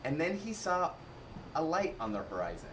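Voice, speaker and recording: A man telling a folktale aloud as an amateur storyteller, in a dramatic, deliberate manner, recorded in an echoey space. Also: a little high, sing-song. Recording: echoey, distant mic, faint noise.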